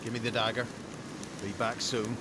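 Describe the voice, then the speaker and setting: A grim, hardened village headman from a fantasy game, acted by a man, wary and rustic in his speech. His voice sits at a middling pitch, with clear ambient noise around it. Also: little pitch movement, normal speed, natural voice. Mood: grim resigned. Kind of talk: video game cutscene acting.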